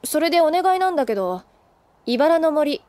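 A woman's voice: sits high, very animated, moderate pace, mellow. A woman playing a confident, easygoing, teasing senior schoolgirl in an anime audio drama, speaking in a playful, casual way.

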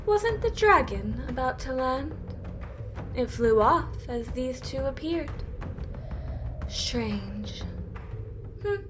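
A woman voicing a proud, imperious empress with a haughty, measured, theatrical delivery in a fantasy audio drama, over a noisy ambient bed. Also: sits high, animated, over music. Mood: haughty, puzzled.

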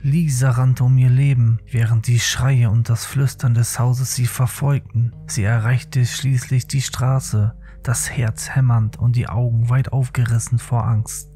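A man narrates a horror tale with measured suspense. His voice is mid-pitched, dark and mellow. Quiet music plays underneath. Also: little pitch movement. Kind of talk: scripted horror story narration.

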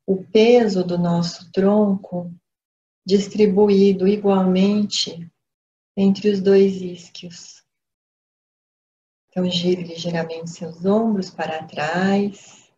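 A woman with a Brazilian accent leading a guided meditation as a teacher, with calm, gentle, measured instruction. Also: fairly low pitch. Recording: dull lo-fi audio, background noise.

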